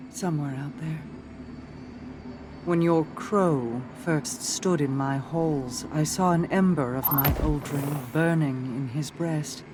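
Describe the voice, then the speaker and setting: A slow, regal alien queen. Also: deep voice, noisy background. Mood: wistful. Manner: measured, solemn storytelling. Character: regal, secretive alien queen, calm and commanding. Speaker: queen character. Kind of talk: game cutscene voice acting. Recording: game audio with loud score behind.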